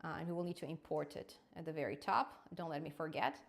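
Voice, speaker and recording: A young adult woman hosting a live coding stream, chatty and quick to laugh, often restarting her words. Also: low voice, steady tone, vocal fry. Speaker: programming channel host.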